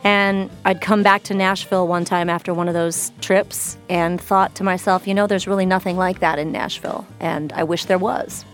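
A woman's voice: fairly low pitch, steady tone, moderate pace, hardly any pauses, soft background music. A woman from the American Midwest, a musician and bar co-owner, answering interview questions in a chatty, storytelling way. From Midwestern United States.

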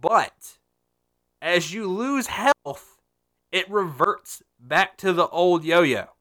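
Bright, crisp man with a stuffy cold. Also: high-pitched, expressive, drawn-out words. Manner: rambling, profane, frequent fillers and restarts. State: has a cold, slightly nasal and sniffly. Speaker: podcast host. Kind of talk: casual gaming podcast chat.

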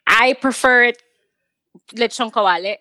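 Woman, podcast co-host, slightly high pitch, dry mic, giggly, quick reactions. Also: sharp tone, dry recording. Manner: laughs often, quick reactions. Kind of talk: casual chat.

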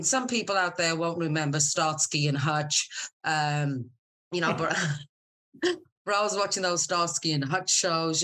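Low-pitched chatty middle-aged woman. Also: fairly bright tone. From Scotland. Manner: chatty, candid, informal. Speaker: podcast guest, military spouse and business owner. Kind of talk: casual interview chat with personal stories.

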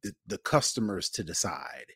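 A man's voice: sits low, sing-song, normal speed, fairly bright tone, husky. A man co-hosting a podcast, giving casual, opinionated commentary bluntly and emphatically, repeating phrases for effect. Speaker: podcast co-host.

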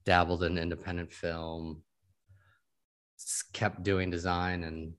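A man's voice: low voice, completely flat delivery, slow pace, a bit bright. A man in his thirties from California, a guest on a podcast, talking about his background in an easygoing way. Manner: easygoing, laughs often. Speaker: podcast guest, creative director. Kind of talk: casual interview chat.

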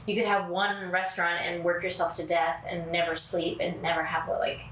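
A woman hosting a podcast in a casual chat, with a soothing, even cadence and many fillers. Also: fairly low pitch, a little fast. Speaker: podcast host. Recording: roomy, distant mic, faint noise.

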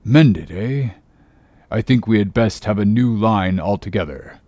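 A man's voice: fairly low pitch, expressive, a little slow, mellow, dry recording. A man voicing a weary, wry old sailor in an audiobook, muttering and grumbling. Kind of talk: audiobook character reading.

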